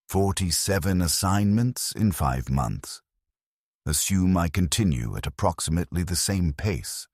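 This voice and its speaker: Deep husky male story narrator. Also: a little mellow, no echo, clean studio sound. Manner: grave, measured, detached. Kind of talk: first-person scripted story narration.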